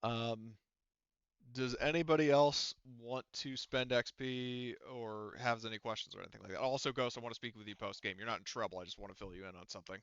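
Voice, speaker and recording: A man's voice: mid-range voice, fairly even intonation, a little fast, clear, resonant tone, no room sound. Man game master, clean but dull audio. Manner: profane, conversational. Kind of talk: casual explaining of tabletop game rules.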